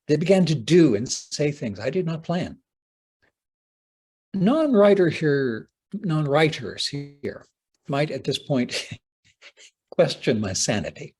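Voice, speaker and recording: Husky, slow-paced man lecturing theatrically. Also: on the high side, darkish tone. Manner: theatrical, deliberate, with dramatic pauses. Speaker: playwright giving a talk. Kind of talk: prepared conference lecture, read aloud with dramatic phrasing.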